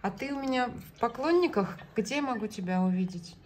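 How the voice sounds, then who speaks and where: A woman's voice: on the high side, animated, brisk, a little hiss or hum. A middle-aged woman chatting casually and flirtatiously on a call, composed and playfully evasive, recorded on a phone at home. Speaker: social media video creator. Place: small indoor room at home. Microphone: phone at arm's length.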